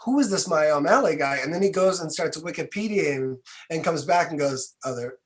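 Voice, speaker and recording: A man's voice: fairly high pitch, animated, moderate pace. A man speaking casually and earnestly as a filmmaker being interviewed on a podcast, reflective in his way of talking.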